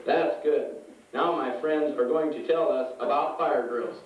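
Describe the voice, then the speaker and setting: A man's voice: medium pitch, fairly even intonation, a little slow, slight background noise, natural voice. Male performer as earnest firefighter sidekick, scripted children's safety talk, distant, muffled sound. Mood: earnest, instructive. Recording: echoey, dull, far from mic.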